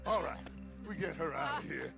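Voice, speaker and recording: An actor playing a ruthless, furious outlaw gang leader in a video game cutscene, commanding and menacing. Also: male voice, a little high, expressive, normal speed, gravelly. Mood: angry, commanding. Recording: loud music behind the voice.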